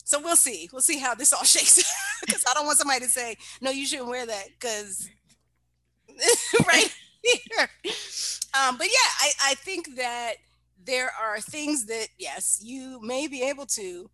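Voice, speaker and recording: Bright high-voiced woman, playful and teasing. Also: measured pace, no room sound. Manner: animated, laughs often, playful teasing. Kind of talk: casual chat.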